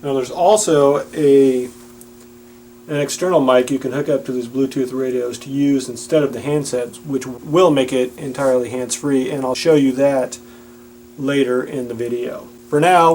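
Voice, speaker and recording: A man's voice: mid-range voice, fairly even intonation, normal speed, a little mellow, poor audio. A man giving a plain-spoken, instructional product demonstration. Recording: audible background noise with hum.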